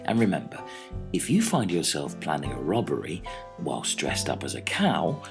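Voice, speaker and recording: A man playing an earnest, hesitant castaway in a comic radio play, speaking deliberately with mock seriousness. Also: extremely low pitch, sing-song, creaky, music in the background, full character voice.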